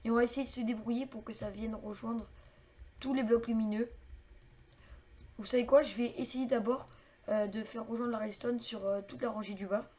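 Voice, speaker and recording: Chatty high-voiced woman explaining a game build. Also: steady tone, moderate pace, a little mellow. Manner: chatty, many fillers and clicking sounds. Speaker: game tutorial maker. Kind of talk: casual game tutorial narration.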